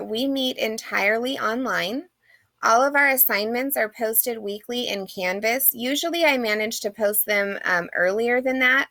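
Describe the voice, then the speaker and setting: A woman's voice: medium pitch, gravelly, fairly bright tone, dry recording. A woman instructor, sick and losing her voice a little, talking through a course syllabus in a friendly, chatty recorded lecture with plenty of "um"s. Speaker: online English instructor. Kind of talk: recorded screen-share lecture.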